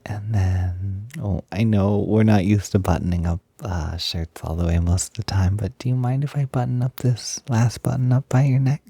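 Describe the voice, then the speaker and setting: A man playing an excited, doting, gentle young roommate in an intimate roleplay audio, soft-spoken and breathy, hesitant but gushing and reassuring. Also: fairly low pitch, normal speed, hardly any pauses, no echo. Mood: gentle, attentive.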